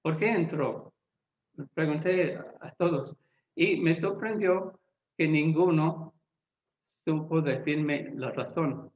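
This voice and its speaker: A man who is not a native Spanish speaker, a Bible translator giving a lecture-style talk, deliberate and often pausing to search for words. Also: on the high side. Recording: echoey, tinny phone-like sound.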